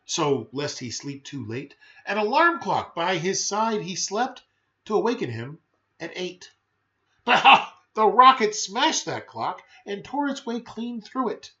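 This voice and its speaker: A man with a fairly high voice reads a children's storybook with very lively, swooping intonation. His delivery is playful and emphatic, moving between rhyme and chatty asides. The sound is slightly dull. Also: measured pace. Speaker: storyteller reading a children's picture book. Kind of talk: expressive rhyming storybook narration with brief chatty asides.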